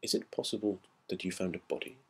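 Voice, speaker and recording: A man's voice: low-pitched, little pitch movement, quick pace, darkish tone, breathy edge. A man playing a nervous, inquisitive antiquarian visitor in a storybook reading, uneasy in manner. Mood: startled, nervous. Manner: questioning, uneasy.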